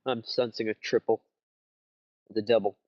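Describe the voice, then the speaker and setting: A man's voice: on the low side, little pitch movement, normal speed, warm tone, no echo. A man giving casual colour commentary on a card game in short, often repeated remarks, on a dull lo-fi recording.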